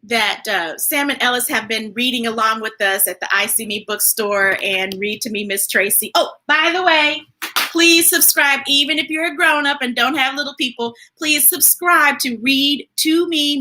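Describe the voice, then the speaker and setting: Bright, high-voiced woman hosting children's storytime. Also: moderate pace. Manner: informal, folksy, enthusiastic. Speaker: children's storytime host and reader. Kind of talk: reading picture books aloud with chatty asides to online viewers.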